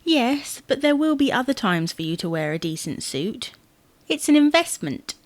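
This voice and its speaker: A woman's voice: high voice, big pitch swings, normal speed, no echo. A woman playing an exasperated, image-conscious wife who scolds and bosses in a domestic comedy audio drama. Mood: firm persuading. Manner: bossy, scolding, sarcastic.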